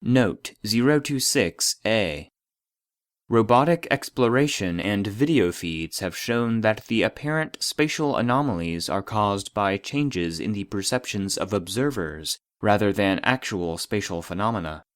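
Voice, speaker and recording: A man voicing an impersonal database, reading formal classified reports in a measured, clinical manner. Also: fairly low pitch, expressive, no room sound, studio quality. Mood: clinical, detached. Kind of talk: scripted narration of a formal report.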